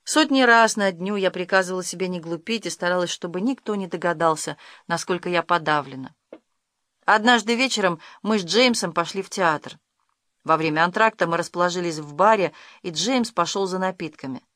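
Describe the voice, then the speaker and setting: A narrator reads literary fiction in the first person, at a medium pitch. The recording is dry and close, with no room sound around the scripted storytelling. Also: brisk, pushed and bright, dry recording. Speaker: audiobook narrator.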